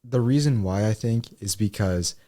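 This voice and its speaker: Thoughtful young man, lower voice. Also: normal speed, dark tone, no room sound, clean studio sound. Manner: thoughtful, reflective, frequent "like" fillers. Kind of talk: casual podcast chat.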